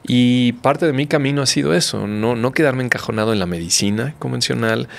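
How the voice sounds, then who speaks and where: A man in his forties with a Mexican accent, a doctor guest on a podcast, speaking in a calm, reflective way in a studio. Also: medium pitch, fairly quick, creaky. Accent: Mexican Spanish. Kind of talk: casual interview. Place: dark studio set, indoors. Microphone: studio mic on boom arm.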